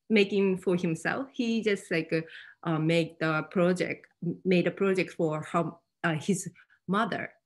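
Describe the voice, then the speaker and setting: A woman with a Japanese accent presents informally in non-native English. Her voice sits a little low and has a noticeable creak. She speaks haltingly, leaning often on "like" as she builds each sentence. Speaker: fab lab presenter. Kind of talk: informal presentation at an online meetup. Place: online video meeting.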